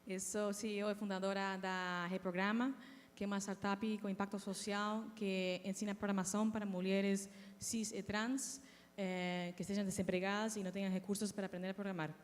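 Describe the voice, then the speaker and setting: Woman from Peru, founder on panel, mid pitch, quick with fillers, echoing hall. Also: flat delivery. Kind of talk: self-introduction on a panel. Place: conference stage in a large hall. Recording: long hall reverb.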